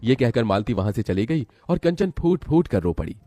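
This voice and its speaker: A man's voice: medium pitch, big pitch swings, moderate pace, warm tone, no echo, clean studio sound. A man narrating a scripted moral story.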